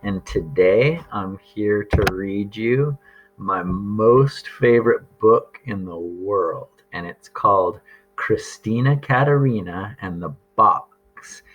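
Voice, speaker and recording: A man reading a picture book aloud to young children, deliberate and expressive, drawing out words and pausing for drama. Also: fairly low pitch, muffled recording.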